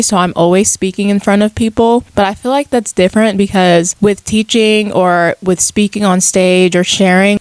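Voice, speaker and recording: A woman's voice: medium pitch, smooth, dry recording. A woman in her mid-twenties hosting a podcast solo, talking in a casual, chatty, reflective monologue. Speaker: podcast host.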